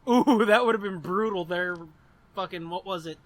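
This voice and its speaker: High-voiced, crude podcast co-host man. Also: moderate pace, no room sound. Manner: crude, swearing, laughing a lot. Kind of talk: casual chat.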